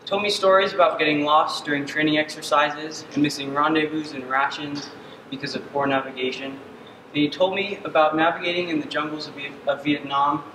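A man's voice: on the high side, steady tone, fairly quick. Young man speaking far off in an echoey hall. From Uganda. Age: young adult. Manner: earnest, frequent ums. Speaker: student presenter. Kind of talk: senior capstone presentation. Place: dark stage in a hall. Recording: distant echoey hall with ambient noise.

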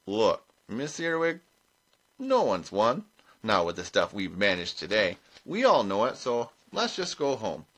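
Storybook casting: narrator playing an unimpressed old witch, female, plain-spoken, lively and forceful. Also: mid-range voice, measured pace, full character voice. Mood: blunt. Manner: plain-spoken, colloquial, bluntly contradicting.

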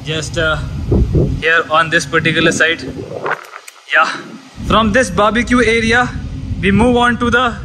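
A man's voice: sits high, fairly even intonation, measured pace, long pauses. Man, 30s, eager casual vlog talk, handheld camera, slight background hum. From India. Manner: chatty, enthusiastic, restarts and fillers. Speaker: seafarer vlogger giving a ship tour. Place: aboard a cargo ship, outdoor decks and indoor day room. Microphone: handheld camera at arm's length.

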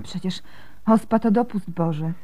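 An actress playing a devout, gentle young Byzantine wife and mother in a radio drama, speaking softly and earnestly. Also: lowish voice, moderate pace, natural voice.

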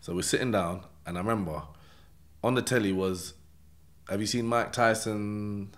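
Male podcast guest, London accent, low voice, street slang, casual first-person storyteller who keeps checking the listener follows. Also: normal speed. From London, England. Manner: streetwise slang, repeated check-in tags like "yeah?" and "innit". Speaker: podcast guest telling his life story.

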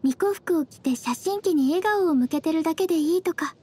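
Gentle high-voiced young traveler girl. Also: a little fast. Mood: matter-of-fact. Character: gentle, composed young female traveler, quietly teasing. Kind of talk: anime game voice acting.

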